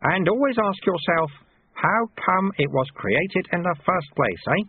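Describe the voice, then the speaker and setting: A man's voice: high voice, animated, a little slow, husky, phone-quality sound, pitched above the performer's own voice, heavily acted. A man voicing a sly, cheerful old monk and martial-arts master who talks in a cheeky, colloquial, teasing way, in an audiobook. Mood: smug, cheerful.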